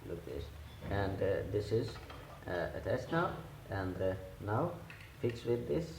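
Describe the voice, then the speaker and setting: A man who speaks English as a second language, giving hands-on repair instructions in a halting, simple step-by-step way. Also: lowish voice, very animated, darkish tone. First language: not English. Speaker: repair tutorial host. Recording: echoey room with clear background noise.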